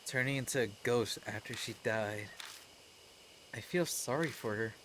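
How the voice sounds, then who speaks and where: A man's voice: medium pitch, a little slow, a little hiss or hum. A man reading game text aloud as a let's player, with playful, sweary casual asides. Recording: loud music behind the voice. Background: loud game music.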